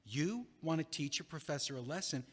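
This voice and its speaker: A man playing an earnest, easily flustered, loyal young friend in a naturalistic comedic audio play, speaking haltingly. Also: fairly high pitch, expressive, a bit bright. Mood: earnest, insistent. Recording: echoey hallway-like reverb.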